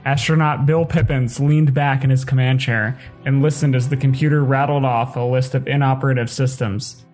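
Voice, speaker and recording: Low-toned man narrating over faint music. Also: steady tone, moderate pace, a little mellow. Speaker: author reading own short story. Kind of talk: scripted story narration.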